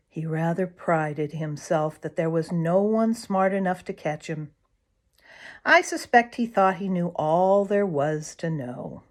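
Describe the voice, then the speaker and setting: A woman narrates a children's storybook at a very unhurried pace. Her voice sits slightly low for a woman. She tells it expressively, swelling on key words and pausing for emphasis. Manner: dramatic, with emphatic pauses and swells. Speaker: storyteller reading a children's book aloud.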